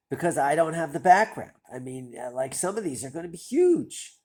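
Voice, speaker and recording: Host, male, nasal and congested with a cold, mellow husky tone, hesitant self-mocking musing. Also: fairly high pitch. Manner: self-deprecating, hesitant, rambling. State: stuffy nose from a cold. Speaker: stock-picking channel host. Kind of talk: casual thinking-aloud commentary.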